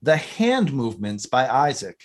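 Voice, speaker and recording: Rambling male podcast host, medium pitch. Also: very animated, unhurried. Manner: rambling, self-interrupting. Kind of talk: casual fan chat.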